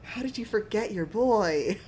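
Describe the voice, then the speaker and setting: A woman's voice: mid-range voice, lively intonation, unhurried, a little mellow, a little hiss or hum, poor audio. A woman, chatting casually with a friend while playing a game. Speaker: guest friend on game stream. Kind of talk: casual chat while gaming.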